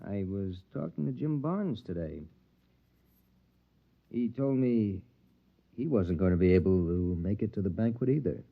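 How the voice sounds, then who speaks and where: Stern husband, dull old radio. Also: male voice, fairly low pitch, expressive, measured pace, gravelly, long pauses, exaggerated voice. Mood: probing, guarded. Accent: American. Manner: stern, clipped, lecturing. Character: domineering, ambitious, suspicious husband in a post-apocalyptic shelter. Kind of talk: mid-century American sci-fi radio drama acting. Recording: dull, band-limited vintage radio recording.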